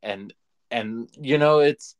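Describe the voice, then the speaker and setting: A man co-hosting a casual movie review chat, talking conversationally and haltingly, repeating phrases for emphasis. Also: mid-range voice, no room sound. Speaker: podcast co-host.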